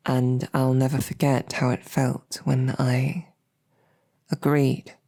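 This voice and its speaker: An actress playing a smitten lowborn royal guard in an audio roleplay, with a halting, self-deprecating, earnest way of speaking. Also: female voice, level tone, vocal fry, warm tone, heavily acted. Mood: smitten, awkward. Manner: halting, self-deprecating, colloquial tag questions. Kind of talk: scripted audio roleplay, halting heartfelt banquet speech.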